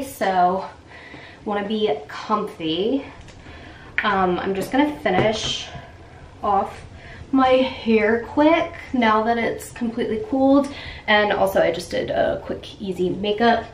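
A young woman vlogging casually at home, talking to the camera while doing her hair and starting dinner, with a relaxed, filler-heavy way of speaking. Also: a little high. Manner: chatty, relaxed, many fillers. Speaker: lifestyle vlogger. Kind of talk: casual vlog talk to camera. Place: home bathroom and kitchen, indoors. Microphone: camera mic at arm's length or on a stand. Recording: echoey room, faint background noise.

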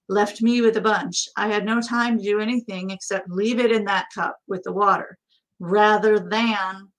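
Middle aged american lady, average pitch, chatty rambling co-host answering questions. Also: poor audio. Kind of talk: casual question-and-answer chat. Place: small indoor office.

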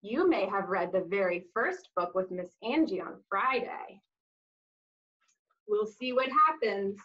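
A woman in her thirties reading a picture book aloud to young children, expressively, with exaggerated emphasis and drawn-out words. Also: medium pitch, animated, fairly bright tone, highs cut off. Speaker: children's storytime reader. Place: small room indoors, seated on floor.

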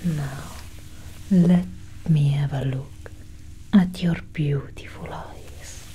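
A woman playing a curious, coolly possessive female alien doctor in a soft, whispered ASMR roleplay, breathy and close to the microphone. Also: sits low, fairly even intonation, slight background noise, rough recording. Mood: calm, clinical. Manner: slow, deliberate, hushed.

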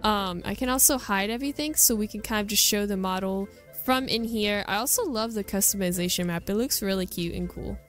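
A woman presents a game showcase in an informal, chatty way. Her voice sits at a middle pitch for a woman. Soft music plays behind her casual commentary. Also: a little fast, hardly any pauses. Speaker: gaming video host.

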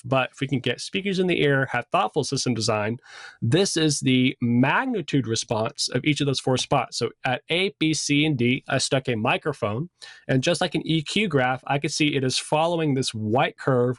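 A man's voice: mid-range voice, dry recording. Guy teaching stuff in a chatty informal way, mid voice, really dry sound. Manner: conversational, informal. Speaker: sound system design instructor. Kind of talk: casual explanatory lesson with slides.

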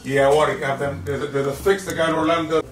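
Halting older car owner, off-mic. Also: male voice, fairly high pitch, level tone. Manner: halting, friendly, repeats words. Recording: distant with clear outdoor noise.

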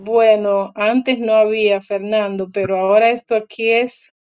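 A woman's voice: mid-range voice, steady tone, unhurried, clear, resonant tone, highs cut off. A woman in her thirties from Cuba, a guest on a video call who tells her story cheerfully, laughing often and trailing off with fillers. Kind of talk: casual chat, telling her experience. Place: small indoor room. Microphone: video call, laptop or phone camera.

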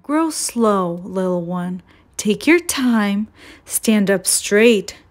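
A woman voicing a wise, patient old mother tree in a children's storybook, gentle and reassuring. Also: mid-range voice, animated, very slow. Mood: gentle, encouraging.

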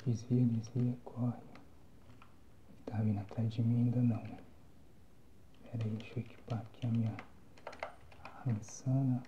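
A young Brazilian man giving casual, slangy ASMR gameplay commentary in a soft, breathy whisper. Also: medium pitch, level tone, normal speed, long pauses, highs cut off, a little hiss or hum. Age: young adult. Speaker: gamer, ASMR channel host. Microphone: phone microphone, close.

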